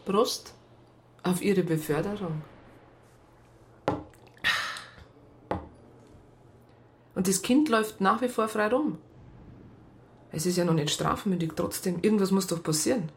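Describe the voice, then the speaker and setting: Casting: female actor for sober police psychologist, slightly low voice, measured, wry, radio crime play. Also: background noise, lightly acted. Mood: concerned. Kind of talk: radio drama narration and dialogue.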